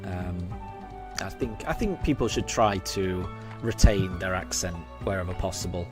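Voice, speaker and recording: A fairly low-voiced man in his forties with a faded Yorkshire accent, streaming a game and chatting casually with his audience. He talks hesitantly, with lots of ums and restarts, and clear game music plays behind him. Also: unhurried. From Yorkshire, England. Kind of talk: casual live-stream chat.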